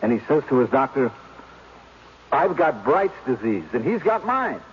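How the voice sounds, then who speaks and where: An American man playing an earnest, humorless, tender policeman husband in a 1970s radio drama, speaking plainly and haltingly. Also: mid-range voice, big pitch swings, close to an everyday voice. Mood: sheepish, eager. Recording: dull, noisy old radio broadcast.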